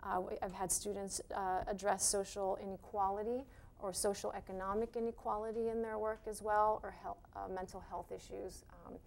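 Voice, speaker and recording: A middle-aged woman, an art instructor, answering interview questions in a measured, hesitant way on a TV studio set. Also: medium pitch, level tone, creaky, a little mellow.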